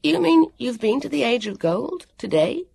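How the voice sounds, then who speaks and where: A high, airy, lordly boy, voiced by the narrator, with swooping pitch. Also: very animated, gravelly, a little mellow, slightly rough, exaggerated voice. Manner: airy and lordly, quick to brush things off. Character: lordly, bossy young boy.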